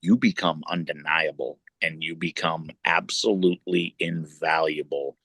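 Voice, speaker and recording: A man's voice: very deep voice, steady tone, unhurried, vocal fry, a bit bright, husky, no echo. A middle-aged man, a wrestler and podcast co-host, talking at length in casual chat, swearing and building long points.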